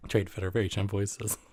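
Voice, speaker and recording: A man chatting casually as a video host, halting and amused while presenting readings. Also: fairly low pitch, warm tone, dry recording, studio quality. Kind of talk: casual vlog chat with read-aloud.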